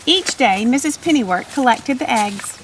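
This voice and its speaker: A woman narrating a children's storybook aloud in an expressive, measured read-aloud style. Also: a little high, slight background noise, rough recording. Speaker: storybook reader.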